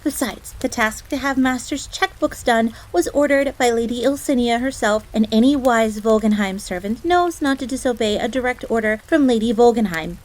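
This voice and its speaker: A woman's voice: a little high, normal speed, few pauses, darkish tone, lightly acted, low-quality recording. A woman playing a jealous, easily flustered fox maid in a roleplay audio drama, haughty and indignant yet self-deprecating, prone to stammering. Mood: defensive. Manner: indignant, stammering when flustered, refers to herself in third person.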